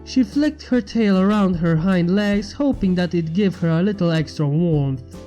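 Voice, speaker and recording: Mellow-voiced woman narrating a story. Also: fairly low pitch, a little slow, faint music behind, rough recording. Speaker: fan fiction reader.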